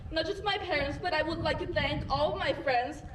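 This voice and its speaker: A high-voiced woman in her late teens with a Thai accent speaks earnestly and with feeling. She is heard from far off through a reverberant, noisy sound system. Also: moderate pace. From Thailand. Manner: heartfelt, earnest, emotional. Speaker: graduating student. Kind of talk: graduation speech. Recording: echoey PA from far off, loud noise.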